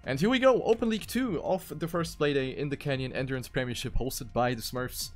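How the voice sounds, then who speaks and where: A man's voice: medium pitch, fairly quick, faint music behind. A man giving live commentary on a racing video game as an esports caster.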